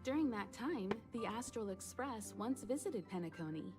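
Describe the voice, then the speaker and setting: A woman voicing a gracious, knowing navigator in a video game, speaking in a measured, formal, gently musing way. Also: mid-range voice, animated, natural voice. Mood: gracious, reflective. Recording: game dialogue over music.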